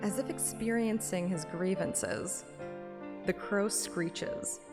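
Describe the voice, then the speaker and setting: Brief: female game streamer, mid-pitched, switches between story narration and casual fan commentary, faint music underneath. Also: slow. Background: game soundtrack music.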